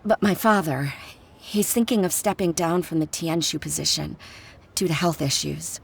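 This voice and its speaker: A woman's voice: low voice, moderate pace, gravelly, heavy background noise. A woman playing a polite, dutiful young professional daughter in a video game, earnest and composed. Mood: troubled, wistful.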